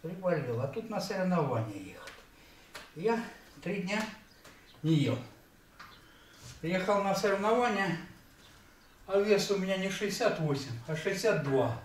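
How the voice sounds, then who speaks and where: A man's voice: high voice, lively intonation, a little slow, long pauses. A man in his fifties chats between sets, telling a story plainly in a slightly raised voice. He is heard from across a very echoey home gym. A faint hum of noise sits behind him. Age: 50s. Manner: plain storytelling, brief replies. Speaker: trainee. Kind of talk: casual chat between sets. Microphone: camera across the room. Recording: echoey room from far off, faint background noise.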